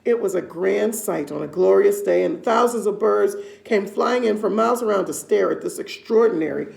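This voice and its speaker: A woman's voice: mid-range voice, normal speed, creaky, darkish tone. A woman reading a children's story aloud expressively, stressing key words and adding casual asides.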